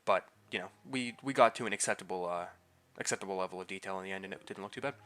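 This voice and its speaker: A man's voice: sits low, very fast, a bit bright, clean tone. A man narrating his model build in a casual voice-over. He sounds a bit nasal and congested from a head cold and talks loosely, with many fillers and restarts. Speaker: scale model builder and channel host.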